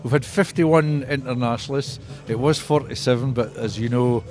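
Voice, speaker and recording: Older male guest, Scottish Borders accent, club historian recalling the past in an interview, mid-pitched voice over faint music. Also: moderate pace.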